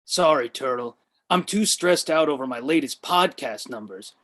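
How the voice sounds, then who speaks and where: A man's voice: medium pitch, expressive, normal speed, a bit bright, lightly acted. A man reading the part of a grumpy, skeptical older brother, contrarian and bossy, in a comedy table read. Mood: bossy, sulky.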